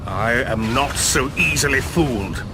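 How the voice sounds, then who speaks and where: An actor playing a proud, stern old elf priest in a fantasy game, formal and haughty. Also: male voice, mid-range voice, expressive, measured pace. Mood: suspicious. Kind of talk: fantasy game cutscene acting. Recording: clearly noisy background.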